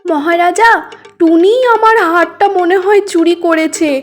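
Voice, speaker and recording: A demanding queen from a children's cartoon fairy tale, played by a woman. Her voice is extremely high, plaintive and theatrical, whining with royal grievance. Soft music sits behind it. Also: measured pace. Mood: accusing. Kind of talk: melodramatic children's cartoon acting.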